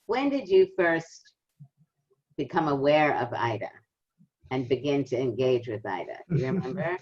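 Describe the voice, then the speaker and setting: A woman host with a very low voice, putting short, direct questions to her guest. The sound is muffled and lo-fi. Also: big pitch swings, normal speed, a little mellow. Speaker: podcast host. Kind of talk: interview questions.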